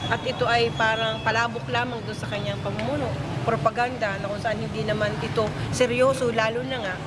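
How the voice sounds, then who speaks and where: A woman giving a spontaneous interview account, halting as she searches for words. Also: fairly high pitch, steady tone. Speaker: human rights activist interviewee. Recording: very loud street and vehicle noise.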